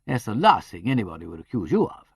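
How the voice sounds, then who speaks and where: A man's voice: lowish voice, sing-song, quick pace, gravelly, slightly rough, no room sound, studio quality, exaggerated voice. Man doing a suave tactful aristocrat. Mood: smooth. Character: urbane English aristocrat, smooth and tactful.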